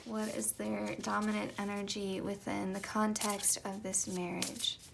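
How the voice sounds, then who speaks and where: A young woman doing a casual tarot reading. She has just woken up and her voice is slightly raspy, and she talks in a chatty way with soft whispered asides. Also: mid-range voice, completely flat delivery, a little slow, heavy background noise. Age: young adult. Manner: chatty, light laughs, soft whispered card calls. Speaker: tarot reader.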